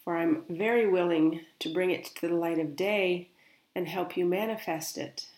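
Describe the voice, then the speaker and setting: A woman speaking as a spiritual medium, gently and unhurriedly relaying another's words in a guided meditation. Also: fairly low pitch, normal speed, reverberant, low-quality recording.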